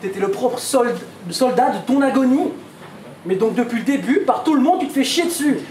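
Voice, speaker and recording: A man's voice: very high-pitched, lively intonation, a little fast, darkish tone. A man battle rapping a cappella, mocking his opponent with taunting punchlines. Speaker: battle rapper. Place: event hall with a crowd. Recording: echoey hall with crowd noise.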